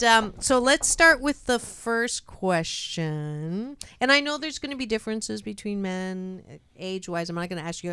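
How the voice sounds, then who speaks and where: Woman, middle-aged, radio talk host, bubbly, laughs a lot, smooth voice, dry sound. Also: on the low side, sharp tone, dry recording. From Canada. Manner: bubbly, laughs often, chatty with restarts. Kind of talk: casual radio chat with guests.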